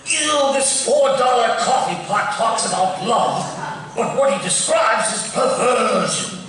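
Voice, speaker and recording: A man's voice: very high voice, animated, fairly bright tone, heavily acted. A man voicing a doddering, bitter, very old cartoon professor with a quavering, cracked voice and melodramatic delivery. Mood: indignant. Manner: melodramatic, drawn-out. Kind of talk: live table read of a cartoon. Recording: echoey hall, distant mic, faint noise.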